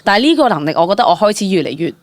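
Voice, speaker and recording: A young woman with a Hong Kong Cantonese accent chats as an interview guest, telling stories in an animated, candid way and dropping in English words. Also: medium pitch, very fast, no echo, studio quality. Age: young adult. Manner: animated, chatty, mixes English words into speech. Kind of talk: casual, candid storytelling.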